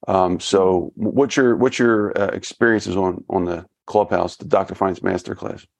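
A man with a Philadelphia accent hosting a podcast interview, talking in a halting way, often repeating his words and laughing. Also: low-pitched, fairly even intonation, gravelly, no echo. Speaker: podcast host. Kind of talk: casual interview.

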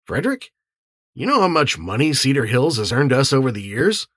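A man voicing an indignant, self-assured man with a brisk, affronted manner in an audiobook. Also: mid-range voice, very animated, fairly bright tone, breathy edge, no room sound, studio quality, voice pushed up. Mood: amused, smug.

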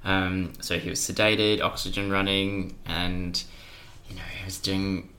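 Bright, low-pitched young man. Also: level tone, a little slow. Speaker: podcast guest, junior doctor. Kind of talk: casual anecdotal storytelling.

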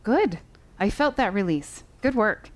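A woman's voice: mid-range voice, big pitch swings, measured pace. A woman acting in a fiction podcast as a composed lawyer who coaches witnesses in an instructive, approving way. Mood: encouraging, businesslike. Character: encouraging, composed female lawyer coaching witnesses before testimony.

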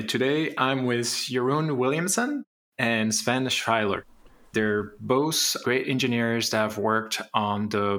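A man's voice: lowish voice, fairly bright tone. A man hosting an interview podcast, reading introductions and asking friendly questions. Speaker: podcast host.

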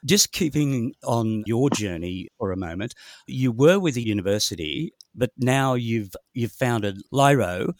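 A man's voice: medium pitch, expressive, a little slow, no echo, clean studio sound. A man, asking prepared questions as an interviewer.

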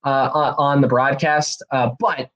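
A young man, hosting a solo podcast in a rambling, energetic way, a bit hoarse and nasal from a cold. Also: medium pitch, a little slow. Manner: rambling, energetic, many fillers and restarts. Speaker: podcast host. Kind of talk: casual solo podcast talk.

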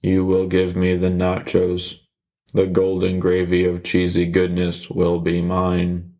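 A cold killer who speaks in a dead-level monotone, played by a man. His voice stays low and slow and never rises, delivering every threat with flat robotic calm. It is deadpan to the core. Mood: flat menace.

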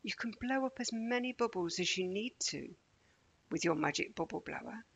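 Breathy, creaky-voiced woman guiding meditation. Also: mid-range voice, lively intonation. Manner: gentle, measured, deliberate pauses. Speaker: meditation guide. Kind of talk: guided relaxation for children, slow and soothing.

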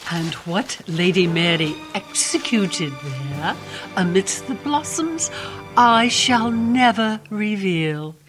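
Grandmother narrator of a dark fairy tale: unhurried, suspenseful, highly animated, light music behind. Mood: suspenseful relish. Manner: measured, suspenseful. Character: grandmother storyteller reciting a grisly fairy tale with relish. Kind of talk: theatrical radio drama narration.

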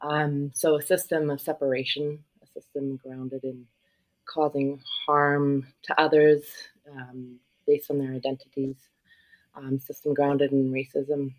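A middle-aged woman from South Africa, a social worker and yoga facilitator, speaking reflectively as an interview guest with frequent pauses. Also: extremely low pitch, little pitch movement, a little mellow, low-quality recording. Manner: thoughtful, searching, many pauses and ums.

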